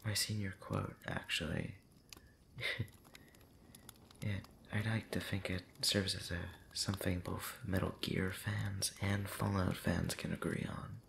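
A man rambling intimately late at night in an ASMR style, breathy and often whispering, hesitant and pensive with long pauses and soft hums. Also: sits low, lively intonation, slight background noise. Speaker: audio roleplay channel creator.

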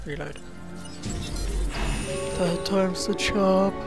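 A man's voice: high voice, steady tone, gravelly, over loud music. A young man from Wales with a Welsh accent, casually commenting on his gameplay, frustrated and swearing often. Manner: frustrated, sweary, quick outbursts. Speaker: gaming YouTuber.

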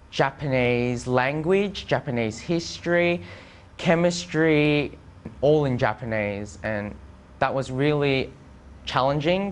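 A young adult man giving an unscripted testimonial as a former exchange student. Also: mid-range voice. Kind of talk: unscripted interview testimonial to camera. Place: indoor studio with plain backdrop.

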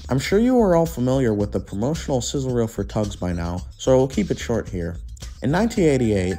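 Guy narrating a video essay, soft dark tone, on the deep side, bit of music under him. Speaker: guest co-narrator.

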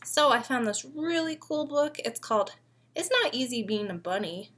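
A young woman reading a picture book aloud to small children like a preschool teacher, expressively and often pausing to ask them questions. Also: on the high side, animated, a bit bright. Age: young adult. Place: living room at home.

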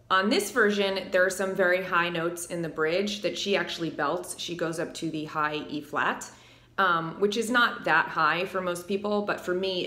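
A woman's voice: on the low side, bright, forceful tone. A woman in her thirties, a vocal coach, explaining technique and singing short examples in a living room on a lapel mic. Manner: explanatory, breaks into sung examples. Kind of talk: singing lesson with sung demonstrations. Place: living room with piano, indoors. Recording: roomy echo, faint music.

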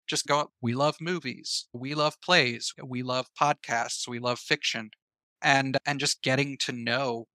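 Bright-voiced man chatting as a guest. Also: medium pitch, dry recording. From American Southeast. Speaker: podcast guest, writer and filmmaker. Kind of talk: casual interview chat, giving advice.

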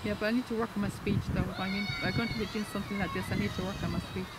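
A visitor at a horse stud who talks loudly with a severe stammer and keeps repeating words. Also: mid-range voice, fairly even intonation, racing. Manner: loud voice. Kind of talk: casual chat to the camera operator. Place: outdoors by grass fields with wind. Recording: loud wind and outdoor noise.

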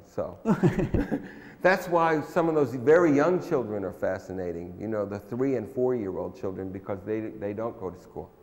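An older man, a guest lecturer, answering audience questions in a relaxed, hesitant way with many pauses. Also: on the low side. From United States. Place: large frescoed hall, indoors. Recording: long hall reverb.

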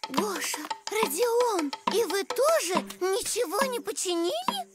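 Earnest high-pitched little cartoon zebra girl. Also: big pitch swings, measured pace, heavily acted. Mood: gently reproving. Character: orderly young female zebra, earnest club leader. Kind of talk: children's cartoon dialogue. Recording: music behind the voice.

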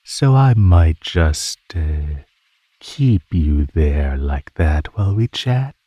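A man voicing a predatory male naga in an ASMR roleplay, husky and breathy, teasing his prey in a mockingly sweet drawl. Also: rumbling low, animated, takes it slowly, mellow, dry recording, heavily acted. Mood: amused teasing. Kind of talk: ASMR roleplay, scripted monologue.

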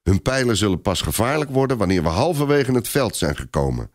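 A male audiobook narrator with a fairly low voice reads a scripted tale dramatically. His pitch rises and falls widely, giving the narration an animated shape. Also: brisk, no room sound, studio quality.

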